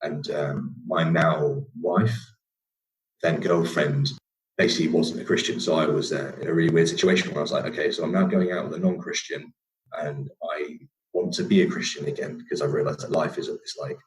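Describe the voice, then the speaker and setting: A man's voice: extremely low pitch, steady tone, brisk, slight background noise, rough recording. A young man from England with a northern English accent, a podcast guest telling his story casually, rambling with many fillers and restarts.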